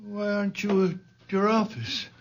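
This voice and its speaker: A man's voice: high voice, steady tone, measured pace. An actor playing a very old man, a frail but stubborn father, in a 1970s radio drama. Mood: drowsy. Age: older. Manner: quiet, then fiercely insistent.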